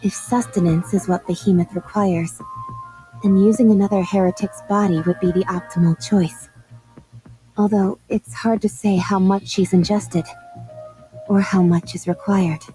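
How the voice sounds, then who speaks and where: A woman voicing a poised, aloof and coolly sardonic commander in a video game, measured and dry. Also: medium pitch, steady tone, warm tone, soft background music, lightly acted, rough recording. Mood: analytical, dry.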